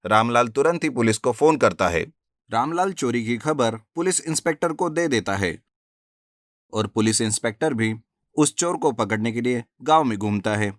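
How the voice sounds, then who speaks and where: A man narrates a cartoon story in a plain, even storytelling voice. The recording is dry and close, with no room sound. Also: mid-range voice, normal speed, dry recording.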